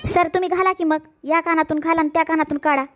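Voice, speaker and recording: Cartoon woman as hapless middle-aged husband: high voice, rural Marathi accent, meek and cheeky, slight noise. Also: steady tone, fast, a bit bright. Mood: cheeky, plaintive. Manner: plaintive, deferential, cheeky. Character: hapless, henpecked bald man in his forties. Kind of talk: comedy cartoon voice acting.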